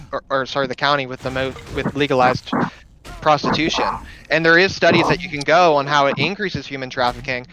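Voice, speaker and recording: A young man in a heated live debate, insistent and quick to contradict the others. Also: mid-range voice, bright, forceful tone, rough recording. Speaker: debate guest.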